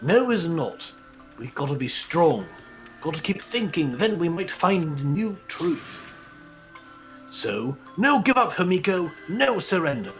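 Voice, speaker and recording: A man playing an earnest, simple-hearted gentle giant. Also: on the high side, sing-song, slow pace, soft background music, exaggerated voice. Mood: determined. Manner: broken grammar, emphatic short sentences. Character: big, earnest, simple-hearted young man with broken caveman grammar.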